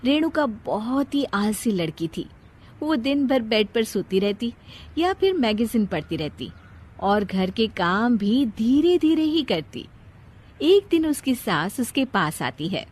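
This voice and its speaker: A woman's voice: fairly high pitch, big pitch swings, takes it slowly, a little mellow, husky. A woman narrating a scripted cartoon family story as storyteller.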